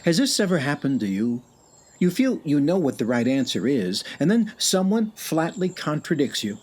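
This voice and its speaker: An actor narrating a scripted radio play in a wry, deliberate, theatrical way. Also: male voice, a little high, big pitch swings, darkish tone. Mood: wry, knowing. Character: wry, measured male storyteller narrator. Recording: clear music bed behind narration.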